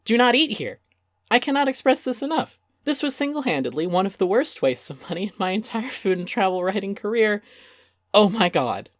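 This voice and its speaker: A man doing a dramatic reading of a written piece, theatrical and emphatic, with deliberate pauses. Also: high voice, very animated, a bit bright, no room sound, studio quality. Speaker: reader and channel narrator.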